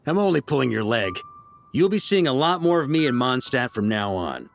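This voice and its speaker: An actor playing a seasoned, easygoing knight grandmaster in a video game, speaking in a laid-back, wry way. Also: male voice, mid-range voice, big pitch swings, moderate pace, creaky, slight background noise. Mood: playful. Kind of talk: video game character dialogue.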